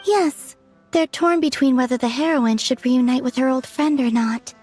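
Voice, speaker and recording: A woman voicing a gentle young woman in a video game who speaks softly and hesitantly, sometimes drifting into a whisper. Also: high-pitched, normal speed, soft background music, close to an everyday voice. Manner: soft and hesitant, trailing into whispers.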